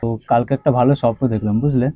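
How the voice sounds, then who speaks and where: A man's voice: mid-range voice, steady tone, very fast, over music. A man making a casual prank phone call in a teasing, evasive way. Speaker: prank caller.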